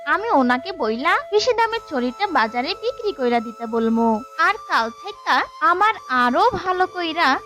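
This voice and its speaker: A woman's voice: high voice, very animated, a little slow, sharp tone, faint music behind, full character voice. A woman voicing a practical farmer's wife in a cartoon, thinking aloud in a rural eastern Bengali dialect. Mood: practical, musing. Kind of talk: cartoon character dialogue.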